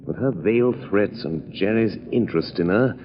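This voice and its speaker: Casting: male actor as urbane gentleman detective, deep and grave, measured delivery, dull-sounding vintage radio thriller. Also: a little hiss or hum, natural voice. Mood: grave, resolute. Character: suave male amateur sleuth, grave and thoughtful. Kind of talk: 1940s radio acting. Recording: dull old radio recording.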